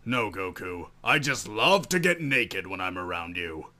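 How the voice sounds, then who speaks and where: A man voicing a grumpy, sarcastic green alien warrior in a parody anime dub, gravelly, deadpan and easily irritated. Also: deep voice, unhurried, a little hiss or hum, heavily acted.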